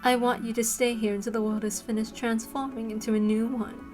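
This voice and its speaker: A woman voicing a delirious, self-deluded villainess in a visual novel, coy, exalted and deliberate. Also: fairly high pitch, little pitch movement, a little mellow, faint music behind, lightly acted. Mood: coy, calm.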